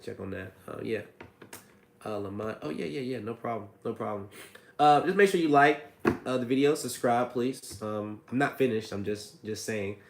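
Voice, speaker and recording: A man with a hoarse, raspy voice from a cold, hosting a casual livestream and answering viewer questions about credit repair. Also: medium pitch, lively intonation, moderate pace, echoey room, slight background noise. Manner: reads viewer comments aloud, chatty and loose.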